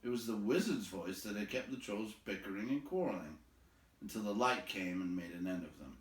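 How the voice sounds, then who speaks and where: A man reading a fantasy story aloud as an expressive storyteller. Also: on the low side, brisk, echoey room.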